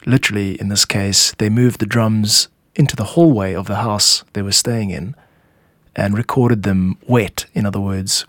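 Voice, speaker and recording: A man with a fairly low voice presents a music podcast as its host and composer. He explains in measured, instructive phrases with deliberate pauses. The sound is close and dry, as if in a quiet studio. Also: dry recording.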